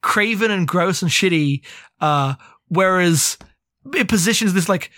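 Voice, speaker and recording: Man, higher-pitched voice, close dry sound; opinionated, meandering podcast chat with plenty of filler "like" and swearing. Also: a little slow, a bit bright, dry recording. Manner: rambling, heavy use of "like". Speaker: podcast co-host. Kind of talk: casual book discussion.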